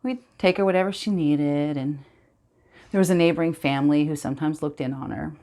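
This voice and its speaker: A woman performing a dramatic film monologue as a somber storyteller recalling childhood, speaking in a hushed, halting, deliberate way. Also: sits low, steady tone, pitched below the performer's own voice. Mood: wistful, brooding.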